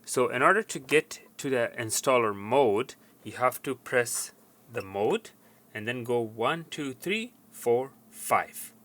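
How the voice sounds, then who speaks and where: A man presents an informal technical how-to in a mid-pitched, clearly bright voice. He explains things step by step, with a slightly halting delivery as he instructs. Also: animated. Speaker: technician giving tutorial.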